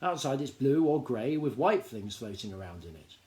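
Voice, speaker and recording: A man's voice: medium pitch, very animated, moderate pace, darkish tone, natural voice. A man voicing a mild, bewildered and polite character in an audiobook, speaking hesitantly. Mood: puzzled. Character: puzzled, polite young man.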